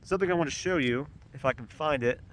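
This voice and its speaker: A man with a slightly high voice talks through a casual car-part review, halting and rambling with frequent ums. He is outdoors, and strong gusts batter the mic with loud wind noise. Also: poor audio. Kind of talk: casual product review vlog. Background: gusting wind.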